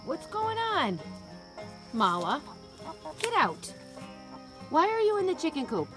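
A woman's voice: sits high, wildly swooping pitch, slow, over music. A middle-aged woman talking casually to her pets in short, coaxing phrases, recorded on a handheld phone. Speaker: backyard chicken keeper, vlogger. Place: mostly outdoors in a garden and around a coop.

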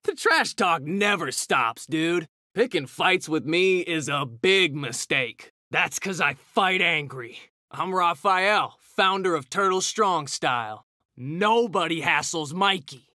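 A man's voice: on the high side, lively intonation, slow pace, sharp tone, no echo, studio quality, heavily acted. A man voicing a cocky, hot-tempered young mutant ninja turtle, trading brash, slangy taunts in a fighting video game. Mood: cocky, taunting. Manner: brash, slangy trash talk.

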